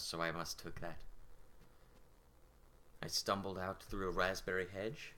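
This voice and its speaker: A man playing a well-spoken servant in his thirties, bitter and yearning, in a measured, poetic stage monologue. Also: low voice, sharp tone, rough recording. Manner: measured, poetic storytelling delivery.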